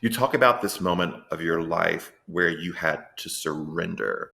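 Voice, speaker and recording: A man's voice: deep voice, normal speed, vocal fry, pushed and bright. A man hosting an interview, asking earnest, searching questions and repeating words as he thinks. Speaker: podcast host. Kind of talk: interview conversation.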